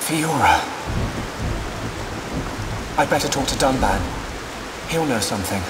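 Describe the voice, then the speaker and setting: A young man acting an earnest, brooding young hero in a video game, sincere and soft-spoken. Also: mid-range voice, expressive, measured pace, very noisy, natural voice. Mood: troubled. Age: young adult. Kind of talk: dramatic video game dialogue. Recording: music and rain behind voice.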